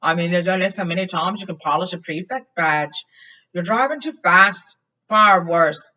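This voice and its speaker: A woman's voice: lowish voice, moderate pace, pushed and bright. A woman voicing a wry, matter-of-fact teenage wizard brother with emphatic delivery in a storybook reading. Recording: dull lo-fi, far from the mic.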